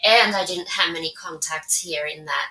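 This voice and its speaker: A woman in her forties with a Swedish accent speaks in a bright, sharp-edged voice with slightly low pitch. She answers interview questions thoughtfully, pausing to find her words, with English as a second language. The room around her rings with echo. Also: slight background noise. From Sweden. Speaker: guest, translator. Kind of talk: conversational interview. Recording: roomy echo.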